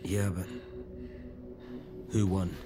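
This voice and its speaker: An actor playing a weary, haunted survivor with a hesitant, guarded way of speaking in an audio drama. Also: male voice, low voice, level tone, extremely slow, over music. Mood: dazed. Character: weary, haunted, reticent male space mission survivor. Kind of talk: naturalistic audio drama acting. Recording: noisy vehicle ambience behind dialogue.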